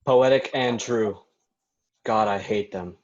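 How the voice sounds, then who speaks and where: A man's voice: on the low side, slow. An actor playing a sarcastic, bitter young man who needles his friends with snide mockery in a play reading. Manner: snide, mocking. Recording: dull lo-fi recording.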